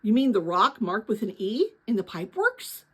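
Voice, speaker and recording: A woman's voice: medium pitch, sing-song, moderate pace, fairly bright tone, breathy edge. A woman voicing a curious, eager young girl on an adventure in a storybook read-aloud, with a questioning manner.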